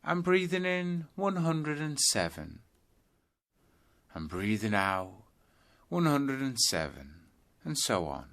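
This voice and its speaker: A man leading a guided yoga meditation, giving deliberate instructions phrase by phrase with pauses between them. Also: mid-range voice, sing-song, vocal fry, fairly bright tone.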